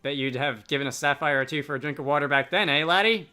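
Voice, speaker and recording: A man's voice: fairly high pitch, fast, pushed and bright, clean tone. Man voicing a cheery, breezy scholar adventurer. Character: cheery, food-loving male scholar adventurer.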